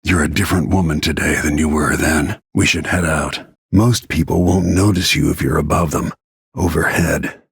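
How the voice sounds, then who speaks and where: A middle-aged assassin from a video game, grim and bent on revenge. The voice sits deep, with heavy gravel and a very raspy husk, recorded dry. Lines come out terse and brooding, always under control. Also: male voice, steady tone, moderate pace, no room sound, heavily acted. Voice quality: gravelly, husky. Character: grim, vengeful middle-aged male assassin bodyguard and protective father.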